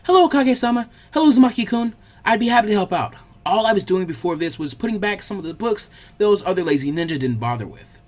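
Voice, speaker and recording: A man's voice: sits high, lively intonation, fast talker, few pauses, voice pushed up. A man voicing a polite, studious teenage girl apprentice in an audiobook story, eager to help. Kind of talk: fan fiction audiobook character voice.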